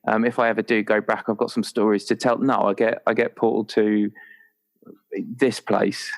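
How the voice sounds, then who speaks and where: A man with a fairly low voice talks off the cuff as a roleplaying game player. He feels his way through ideas, hesitating and doubling back in casual, improvised speech. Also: little pitch movement, normal speed. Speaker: player creating a roleplaying character. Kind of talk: casual, improvised character discussion.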